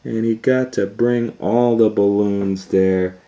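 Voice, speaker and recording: A man with a low, very mellow voice hosts a children's storytime. He tells the tale at an easy, slightly slow pace, acting up the drama and slipping in asides to his young listeners. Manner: dramatic, hushing to near whispers and swelling with excitement. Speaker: storytime host and reader. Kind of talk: animated children's story read-aloud.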